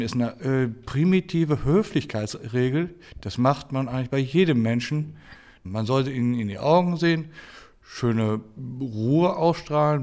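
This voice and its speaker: A man speaking spontaneously as an interviewee, colloquial and halting, with pauses and repeated words. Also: mid-range voice, a little mellow. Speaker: interviewee from a stutterers' self-help group.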